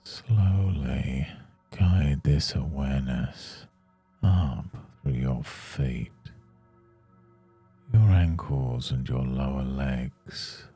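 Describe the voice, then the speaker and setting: Very deep, dark-voiced man guiding meditation. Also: husky. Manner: soothing, measured, with long pauses. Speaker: meditation guide. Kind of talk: scripted guided meditation. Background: faint music.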